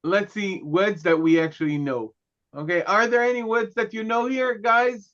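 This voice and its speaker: A man teaching a class, a native Hebrew speaker who lectures in a relaxed way, joking and often checking in with "okay" and "right". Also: high voice, moderate pace, muffled recording.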